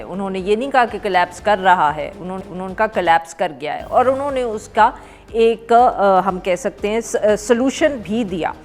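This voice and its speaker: Woman, Pakistani Urdu accent, news show host, measured formal delivery, light fillers, faint background music. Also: mid-range voice. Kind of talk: scripted news talk show intro.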